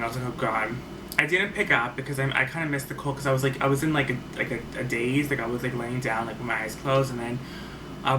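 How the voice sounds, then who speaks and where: A man chats through a personal story on his vlog, at a middling pitch, rambling and restarting his sentences as he goes. He sits a bit back from the mic, so his voice comes across distant, and a steady noise runs under his talking. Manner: rambling, many fillers and restarts. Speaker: vlogger telling a story. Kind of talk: casual storytime monologue. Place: at home. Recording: faint far-off mic with noticeable background noise.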